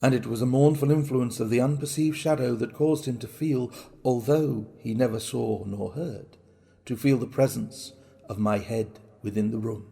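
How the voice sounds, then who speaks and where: Man playing a creaky-voiced, cunning madman. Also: mid-range voice, warm tone, natural voice. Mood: dark, ominous. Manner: theatrical, deliberate, drawn-out words. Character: cunning, boastful madman confessing a murder. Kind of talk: dramatic reading of gothic horror.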